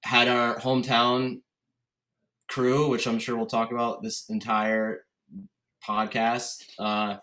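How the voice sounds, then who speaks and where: A man in his thirties from Connecticut speaks as a podcast guest. His voice sits at a middle pitch, and he tells personal stories in a relaxed, informal chat style. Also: slow pace. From Connecticut, United States. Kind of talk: casual chat, personal storytelling.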